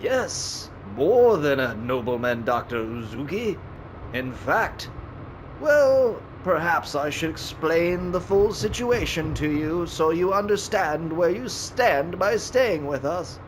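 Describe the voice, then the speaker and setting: A man acting a high-voiced courteous old butler. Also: expressive, slow pace, a little hiss or hum, poor audio. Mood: solemn, explanatory. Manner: formal, deferential. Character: courteous old male butler, loyal and dignified.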